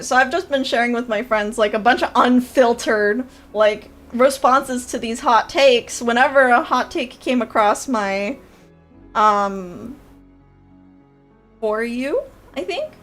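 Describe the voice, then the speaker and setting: Nasally young woman streaming, kinda high voice, rambly with lots of ums, little bit of music behind. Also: a bit bright. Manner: chatty and rambling, with frequent fillers and laughs. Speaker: VTuber streamer and creator coach. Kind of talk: casual livestream chat.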